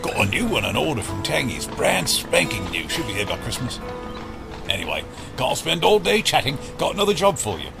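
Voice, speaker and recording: Man as gravelly yard boss. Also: mid-range voice, big pitch swings, fairly quick, loud music behind the voice. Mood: gruff, brisk. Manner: blunt, clipped colloquial speech. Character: brisk, bossy male shipbreaking yard boss. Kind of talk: audio drama acting.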